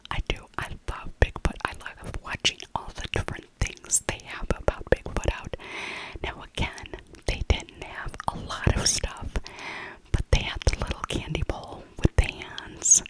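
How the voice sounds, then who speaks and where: A woman whispers softly in a breathy, voiceless hush, as in an ASMR video. She chats casually and gently, musing aloud and circling back to repeat little phrases as she goes. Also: measured pace, low-quality recording. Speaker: ASMR creator narrating a shopping trip.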